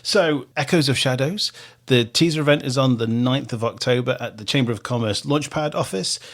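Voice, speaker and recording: A man's voice: medium pitch, moderate pace. A man with a Manx accent hosting a radio interview, asking short questions and reading out a cast list. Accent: Manx English. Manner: brief encouraging interjections, reads out cast list. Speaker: radio podcast host.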